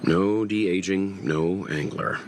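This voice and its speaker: A very deep, cool voice speaks tersely over faint background noise. It is a level-headed young man from an anime game, calm and matter-of-fact. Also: takes it slowly. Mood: cool, composed.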